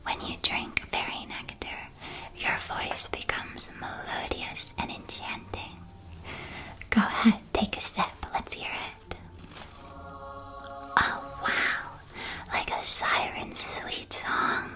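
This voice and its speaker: A cheerful witch who runs a magical candy shop, played by a woman, speaks entirely in a soft whisper. She takes her time in an unhurried ASMR roleplay, welcoming and gently enthusiastic as she presents her wares. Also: natural voice. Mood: warm, welcoming. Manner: soft-spoken, hushed, gently enthusiastic sales patter.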